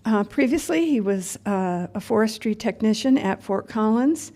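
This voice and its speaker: Female host at mid pitch, mellow and warm, formally reading out a speaker introduction. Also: lively intonation, moderate pace. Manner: formal and courteous.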